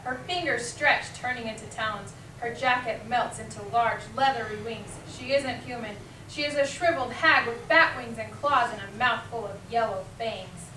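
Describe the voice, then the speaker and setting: Female reader's theater narrator, crisp and bright, picked up from a distance with faint noise. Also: on the high side, normal speed, hardly any pauses. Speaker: teacher, narrator. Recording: echoey room, distant mic, faint noise.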